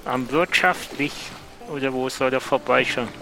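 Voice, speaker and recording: A man's voice: mid-range voice, steady tone, normal speed, vocal fry, pushed and bright, soft background music, low-quality recording. A man role-playing as a player in an improvised audio adventure, using his own voice. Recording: faint background noise and ambience.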